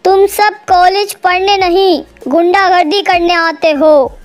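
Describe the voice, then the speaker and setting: A woman's voice: extremely high pitch, steady tone, measured pace, faint music behind. A woman voicing a brave, polite young male student bird in a cartoon, firm and earnest. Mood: stern.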